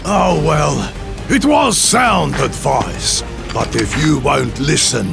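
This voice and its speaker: An actor playing a gloating, theatrical supervillain in a video game, with a gravelly voice and a menacing, grandiose delivery. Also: male voice, a little high, expressive, takes it slowly, exaggerated voice. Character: gloating, theatrical male supervillain threatening heroes. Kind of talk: video game cutscene acting. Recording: loud music underneath.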